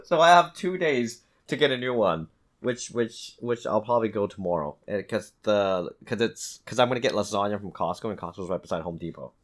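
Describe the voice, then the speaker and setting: A man's voice: on the low side, lively intonation, brisk. A man co-hosting a gaming podcast, bantering casually with friends and swearing bluntly.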